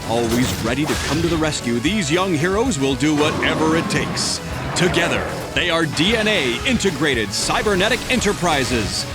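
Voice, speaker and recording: A man's voice: on the high side, animated, normal speed. A man giving scripted narration for a dubbed cartoon in a dramatic storytelling manner. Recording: loud music under the voice.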